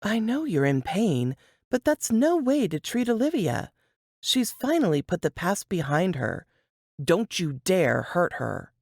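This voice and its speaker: Woman playing a feisty, animated chatterbox woman. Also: lowish voice, unhurried, gravelly, dry recording, studio quality, full character voice. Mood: scolding. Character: spirited, chatty, scolding young brunette at bedside.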